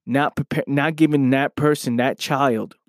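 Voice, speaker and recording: A man hosting his own podcast, his voice a little hoarse, talking earnestly and often asking "right?" as he motivates listeners. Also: medium pitch, fairly even intonation, measured pace, no echo, studio quality. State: slightly hoarse from losing his voice. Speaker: podcast host. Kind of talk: motivational solo podcast talk.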